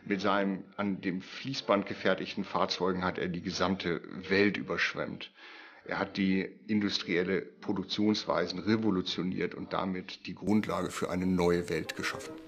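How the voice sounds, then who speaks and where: A man's voice: low-pitched, level tone, moderate pace. A man giving expert commentary in a documentary interview.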